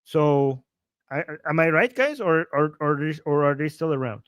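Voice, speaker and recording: A man's voice: a little high, expressive, moderate pace, fairly bright tone, clear, resonant tone, no room sound, studio quality. A man in his forties hosting a casual livestream, reading viewer comments aloud and reacting with playful, chuckling asides and frequent repetitions. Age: 40s. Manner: playful, chuckling, repeats himself while reacting to chat. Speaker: livestream host.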